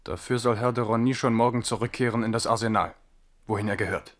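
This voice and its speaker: A man's voice: medium pitch, fairly even intonation, fast, natural voice. A man acting a proud, embattled French king in a German radio drama, theatrical, moving between intimacy and command. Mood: stern. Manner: theatrical, shifting from hushed intimacy to forceful command.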